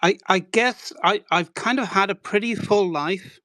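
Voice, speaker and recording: A man's voice: high-pitched, measured pace, bright, forceful tone, no echo. Man in his sixties from Wales. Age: 60s. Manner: rambling, self-deprecating, many hedging fillers. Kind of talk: relaxed interview storytelling.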